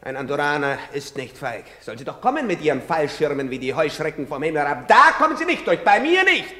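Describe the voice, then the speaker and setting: A crude, swaggering soldier who jeers and taunts in a high, wildly swinging voice. He drags his words out slowly, and his voice rings with echoey hall reverb. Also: sing-song, pushed and bright. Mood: boastful. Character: coarse bullying male soldier, loud and jeering. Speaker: soldier in a stage play. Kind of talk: radio drama acting.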